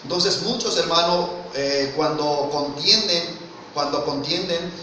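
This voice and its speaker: Mexican-accented male preacher, brightish and fairly high, earnest sermon delivery, heavy hall echo, mic from afar. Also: measured pace, distant mic. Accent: Mexican Spanish. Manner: earnest, keeps addressing listeners as brother, asks rhetorical questions. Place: church hall. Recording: very echoey hall, mic from afar, faint noise.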